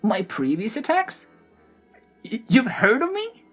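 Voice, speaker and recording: Casting: gleeful gnome showman, played by a woman, very high voice, swooping and exclamatory, rambling fantasy audio-drama chatterbox. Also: wildly swooping pitch, slow, faint music behind, exaggerated voice. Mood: boastful delight.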